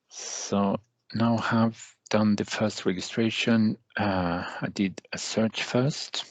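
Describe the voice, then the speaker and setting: A man narrating a step-by-step software tutorial as a trainer, with halting, deliberate phrasing and hesitant pauses. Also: fairly low pitch, gravelly, dry recording, rough recording.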